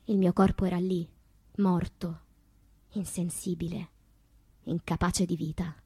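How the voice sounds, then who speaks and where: An actress playing a sensual, unashamed woman poet in a deliberate, intimate theatrical monologue about her inner life. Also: lowish voice, little pitch movement, dark tone, slightly rough, no room sound, full character voice. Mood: confessional, languid. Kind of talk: theatrical literary monologue with sung passages.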